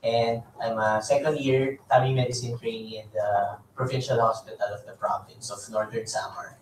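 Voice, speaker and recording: A man's voice: mid-range voice, fairly even intonation, moderate pace, slight background noise. A young man from the Philippines, a family medicine trainee, eagerly introducing himself. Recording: very echoey room, distant mic.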